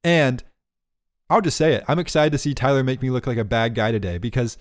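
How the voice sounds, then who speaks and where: A man's voice: mid-range voice, animated, a little fast, no echo, clean studio sound. A man co-hosting a podcast in casual banter, teasing his friend, laughing often and tripping over his words. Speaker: podcast co-host.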